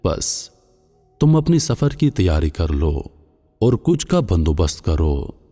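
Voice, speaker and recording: Moral-story father, played by a male narrator: solemn, admonishing, unhurried, heavy reverb over music. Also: deep voice, very animated, warm tone, full character voice. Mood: grave, urgent warning. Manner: grave, admonishing. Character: solemn dying father counselling his son, later a dream spirit. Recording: long cavernous reverb, music underneath.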